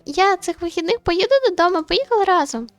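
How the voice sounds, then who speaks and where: A woman voicing a chatty, eager, insistent young woman in a visual novel, with animated, friendly delivery. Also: extremely high pitch, brisk, soft background music, voice pushed up. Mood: insistent, cheerful.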